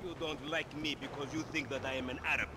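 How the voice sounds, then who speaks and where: An actor playing a smarmy, scheming aged car dealer in a video game. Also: male voice, medium pitch, steady tone, fast, heavy background noise, lightly acted. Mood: oily, effusive. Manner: oily, theatrical.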